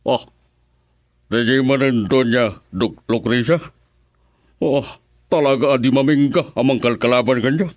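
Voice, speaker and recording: An actor playing a grumbling, wealthy political boss in a radio serial, terse, with an Ilocano accent. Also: male voice, mid-range voice, measured pace, pauses often, dry recording, studio quality, lightly acted. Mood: disgruntled. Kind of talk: radio serial drama acting.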